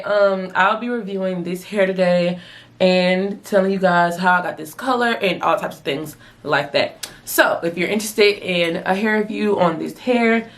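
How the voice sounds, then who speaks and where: A young woman reviewing a wig in a casual vlog, her voice hoarse and raspy after a night out, talking in a chatty, slangy, animated way. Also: mid-range voice. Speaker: beauty vlogger.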